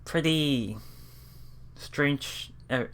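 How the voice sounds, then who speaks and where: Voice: medium pitch, extremely slow, fairly bright tone, smooth. A let's player reading visual novel text aloud and musing casually on it as they go. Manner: musing, often thinking aloud.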